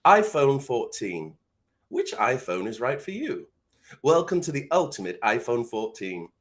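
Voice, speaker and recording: A man's voice: medium pitch, sing-song, measured pace. English-accented male announcer for a product spot, acted by a man. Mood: polished sales. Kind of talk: commercial voice-over read.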